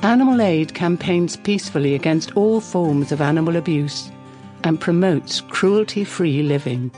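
A woman's voice: low voice, expressive, normal speed, dark tone, soft background music. A woman reading scripted radio adverts in an upbeat, persuasive way. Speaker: advert voice-over.

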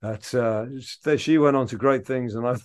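A man in his fifties from the Liverpool area, a theatre maker guesting on a radio show, telling animated, self-mocking stories and laughing often. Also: mid-range voice, moderate pace, smooth. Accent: mild English accent. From England, Liverpool area. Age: 50s. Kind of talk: casual interview talk.

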